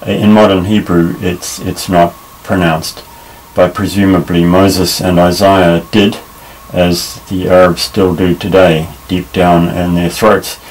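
A man teaching a Bible Hebrew lesson at a table, explaining patiently with many pauses and restarts. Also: sits low, level tone, a little mellow, slight background noise, rough recording. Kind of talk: unscripted tabletop lesson, explaining while pointing. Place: indoors at a table.

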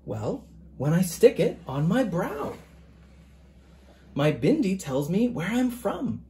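A storybook reader voicing a loving, reassuring mother who explains things gently and playfully to a child. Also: medium pitch, big pitch swings, takes it slowly, a little hiss or hum.

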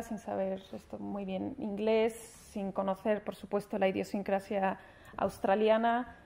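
A woman in her forties from Spain gives a formal alumni speech into a table microphone in a large hall. Her voice is dark, low-toned and gravelly, with a narrow, even pitch. She talks earnestly, often restarting her phrases. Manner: earnest, with frequent restarts and fillers; gestures with her hands as she speaks. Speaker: honored alumna speaker. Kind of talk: formal speech at a university ceremony.

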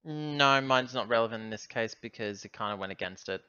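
Bright, clear man on dull audio. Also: mid-range voice, brisk, no room sound. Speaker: tabletop game player. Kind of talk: casual game chat.

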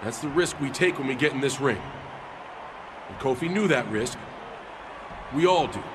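An actor playing an earnest, defiant wrestling hero, giving an emphatic promo to an arena. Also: male voice, medium pitch, animated, normal speed, natural voice. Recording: loud arena crowd noise.

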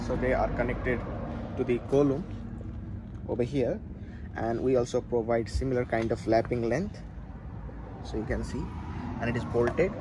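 Clear background noise sits behind a man with a mid-pitched voice, recorded on a phone inside an unfinished steel building. He gives an instructional walkthrough like a tutor, in halting phrases. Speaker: civil engineering tutor. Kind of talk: hands-on explanatory walkthrough. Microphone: handheld phone camera.

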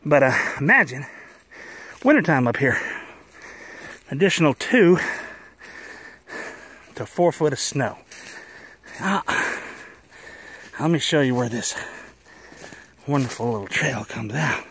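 A man telling stories in a casual, folksy, unhurried vlog style with a wry manner, recorded outdoors on a handheld phone. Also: medium pitch, animated, a bit bright, husky, no room sound. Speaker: vlogger, cable installer. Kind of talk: casual first-person storytelling vlog. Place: outdoors on a wooded trail.

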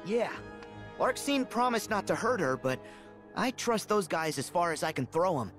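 Earnest, lively-voiced teenage hero. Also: male voice, high-pitched, normal speed, faint music behind. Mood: pondering. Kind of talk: video game cutscene acting.

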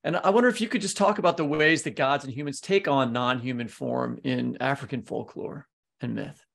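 A man hosting a podcast interview, asking loose, hesitant questions padded with fillers. His mid-range voice has a gravelly, creaky edge. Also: mid-range voice, a little fast, fairly bright tone. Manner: casual, hesitant, with many fillers. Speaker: podcast co-host.

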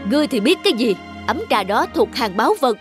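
A woman's voice: on the high side, lively intonation, quick pace, music in the background, close to an everyday voice. A woman voicing a wealthy, proud male landlord who loves tea in a cartoon folktale, talking in a commanding, eager way. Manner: commanding, eager, addresses servants curtly. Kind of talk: dubbed cartoon folktale acting.

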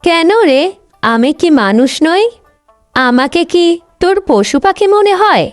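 একজন মহিলার অভিনয়ে উঁচু গলার অহংকারী, ঝগড়াটে বড় বোন. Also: female voice, sits high, sing-song, a little slow, no echo, faint music behind. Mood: scolding, aggrieved. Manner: scolding, complaining. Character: vain, selfish, sharp-tongued young elder sister. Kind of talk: Bengali cartoon story voice acting.

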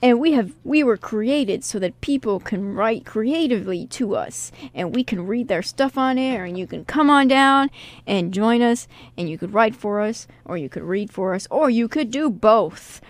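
A woman's voice: on the high side, animated, moderate pace, no echo. A woman hosting a radio show, talking in a casual, jokey, informal way. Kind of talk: casual radio chat and reading a synopsis aloud.

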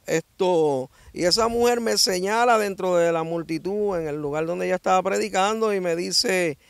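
A man's voice: high voice, moderate pace, vocal fry, smooth, no echo. A man in his sixties with a Puerto Rican accent, telling his story with energy and acting out the voices of people he quotes, outdoors on a handheld mic. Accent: Puerto Rican Spanish. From Dominican Republic. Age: 60s. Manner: lively storyteller who acts out quoted voices. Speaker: guest. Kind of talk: animated testimony. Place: outdoors under a tree in a grassy field. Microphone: handheld mic held by the interviewer.

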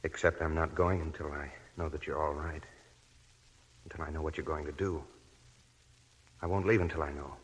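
An actor playing a steady young army soldier, firm and earnest, in 1940s radio acting. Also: male voice, rumbling low, moderate pace, pauses often, husky. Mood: tender. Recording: old 1940s radio broadcast with music.

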